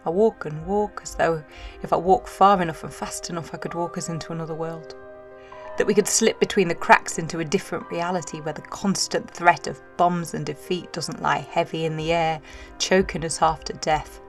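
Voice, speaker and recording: A woman's voice: low-pitched, steady tone, normal speed. An actress playing an anguished, determined young mother in 1940s wartime, speaking an intense, poetic first-person monologue in an audio drama. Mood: restless, driven. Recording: music underneath.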